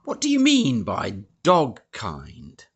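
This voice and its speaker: A man's voice: mid-range voice, huge pitch swings, takes it slowly, husky, pitched above the performer's own voice, exaggerated voice. A man voicing a pompous, blustering middle-aged army major who sneers and splutters, in an audiobook. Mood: sneering. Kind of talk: audiobook character voice.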